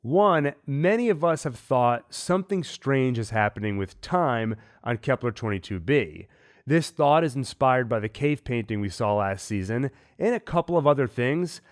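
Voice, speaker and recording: A man hosting a TV recap, talking with a cold in a measured, analytical way. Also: medium pitch, expressive. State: has a cold. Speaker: host. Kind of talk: TV episode recap and theories.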